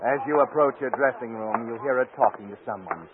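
A man's voice: mid-range voice, fairly quick, heavy background noise, close to an everyday voice. A man narrating an old-time radio suspense drama as a knowing, insistent, foreboding voice, on a tinny old recording. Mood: suspenseful. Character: knowing, ominous male narrator addressing the hero.

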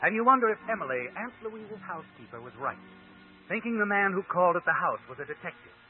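An actor narrating an old-time radio suspense story in the second person as a knowing, ominous storyteller. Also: male voice, high voice, big pitch swings, fast, a little hiss or hum. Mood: sly, insinuating. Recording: old radio show, phone-like, music behind.

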